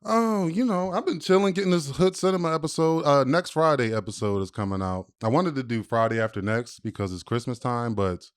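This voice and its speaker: A man's voice: medium pitch, brisk, hardly any pauses, clean tone, no echo. A man co-hosting a podcast in a studio, chatting casually with slangy, teasing jokes. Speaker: podcast co-host. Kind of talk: casual, joking podcast chat.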